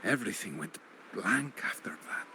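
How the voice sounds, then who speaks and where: An actor playing an eccentric, dazed old fisherman in a video game, with theatrical, halting delivery full of exclamations. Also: on the low side, expressive, background noise. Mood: wistful, mysterious. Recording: loud music behind the voice.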